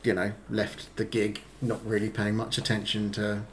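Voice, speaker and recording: A man's voice: fairly low pitch, monotone, moderate pace, slight background noise. A man co-hosting a casual podcast chat, telling stories in a rambling, self-deprecating way with many fillers. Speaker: podcast co-host.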